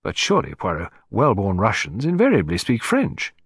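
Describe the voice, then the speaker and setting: A man voicing an earnest, gullible gentleman sidekick in an audiobook mystery, proper and given to exclaiming. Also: lowish voice, wildly swooping pitch, moderate pace, slightly rough, dry recording, clean studio sound, full character voice. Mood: surprised, earnest.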